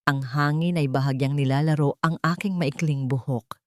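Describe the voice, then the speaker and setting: A woman narrating a scripted first-person romance story in a measured, dramatic and sentimental way. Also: low-pitched, fairly even intonation, no room sound, clean studio sound.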